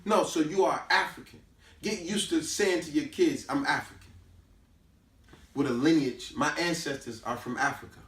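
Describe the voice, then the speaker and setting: American male, slightly high voice, preachy street-level teacher talking to companions, repeats for emphasis, recorded off-mic in an echoey room. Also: moderate pace, fairly bright tone. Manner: emphatic, preachy, repeats himself with rhetorical questions. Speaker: host giving a talk on history and culture. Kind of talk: informal teaching talk to companions. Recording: echoey room, distant microphone.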